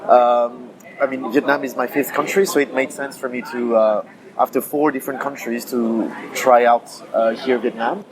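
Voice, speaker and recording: A man in his thirties from France, a guest in a casual interview, who talks haltingly with many fillers and restarts. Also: mid-range voice, steady tone, low-quality recording. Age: 30s. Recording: busy crowd chatter behind.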